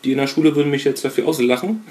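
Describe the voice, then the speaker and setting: A man's voice: a little high, racing, mellow. A man from Berlin with no regional accent, chatting casually on a fan podcast and reading text aloud. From Berlin, Germany. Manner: laid-back, chatty, reads aloud.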